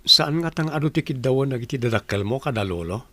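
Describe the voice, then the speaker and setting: A man's voice: medium pitch, lively intonation, fast, bright, forceful tone, no echo. A man acting a respectful, eager young grandson about to marry in a radio comedy drama.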